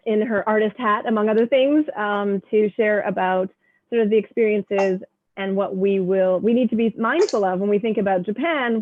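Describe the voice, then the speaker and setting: A woman's voice: medium pitch, no echo. A woman moderating a panel talks in a mid-range voice, winding through long sentences and doubling her words. Her audio is tinny and narrow like a phone line, dry with no room sound. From Canada. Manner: warm, rambling, repeats words.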